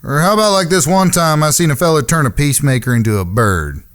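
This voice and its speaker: An actor playing a chatty, dim, childlike outlaw in a comic Western audio drama. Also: male voice, fairly high pitch, lively intonation, quick pace, a bit bright. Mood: wonderstruck. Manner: rambling, goofy.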